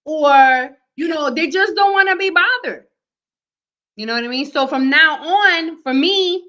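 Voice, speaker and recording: A high, bright and forceful woman's voice talks straight to her viewers. She is loud and emphatic, giving motivational tips in a casual way and pausing with "okay?" after each point. The recording sounds dull and lo-fi. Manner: emphatic, keeps asking "okay?". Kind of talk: casual motivational talk to camera.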